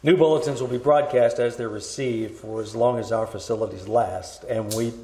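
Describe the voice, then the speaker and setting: A man playing a grave radio newscaster who reads emergency bulletins in a formal, measured way. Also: medium pitch, steady tone, a little mellow, natural voice. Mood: grave, urgent. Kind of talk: radio news bulletin acting. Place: live staged performance at a winery. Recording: roomy live stage performance.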